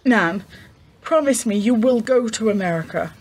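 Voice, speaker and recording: A woman playing a wistful, distressed genteel lady with formal, measured phrasing in a radio play. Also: fairly high pitch, lively intonation.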